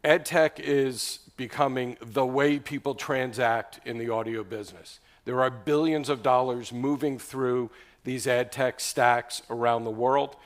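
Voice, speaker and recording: A man moderating a conference panel on radio, laying out topics in a brisk, structured way. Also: mid-range voice.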